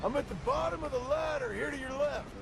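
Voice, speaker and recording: An actor playing an anxious, earnest American outlaw and family man in a western video game, with a gravelly voice. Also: very high voice, quick pace, over music. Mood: focused, instructing. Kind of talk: dramatic video game acting.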